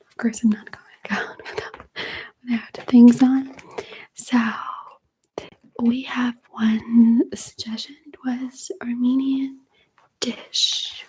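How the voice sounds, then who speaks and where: A woman chatting to live viewers in a breathy ASMR whisper, with a hesitant, nervous manner. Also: a little high, flat delivery, takes it slowly, pauses often, dark tone, poor audio. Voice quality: breathy whisper, mostly unvoiced. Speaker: ASMR streamer hosting a live chat. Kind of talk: whispered ASMR casual livestream chat. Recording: faint background noise with clicks.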